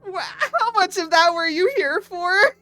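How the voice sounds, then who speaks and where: Hoarse, giggly high-voiced woman. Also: lively intonation, unhurried, pushed and bright, soft background music. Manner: giggly, breaks into fits of laughter. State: hoarse from laughing. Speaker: VTuber artist streamer. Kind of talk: casual live stream chat.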